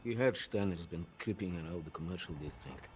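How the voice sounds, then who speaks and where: A man's voice: low voice, a little fast, a little hiss or hum, lightly acted. A man playing a loyal, urgent Soviet resistance fighter in a video game cutscene, with a Russian accent. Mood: wary, teasing. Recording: loud music over dialogue.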